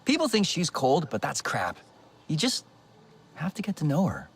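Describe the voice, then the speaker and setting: An actor playing a good-natured, talkative human builder in a video game, full of enthusiasm. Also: male voice, fairly high pitch, moderate pace, breathy edge, exaggerated voice. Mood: fond. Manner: talkative, animated. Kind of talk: video game dialogue acting.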